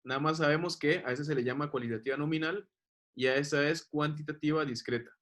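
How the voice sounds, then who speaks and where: A man's voice: on the high side, fairly even intonation, fast talker, a bit bright, a little hiss or hum. A man teaching a class, explaining patiently and checking that the students follow. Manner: patient, checks understanding with questions.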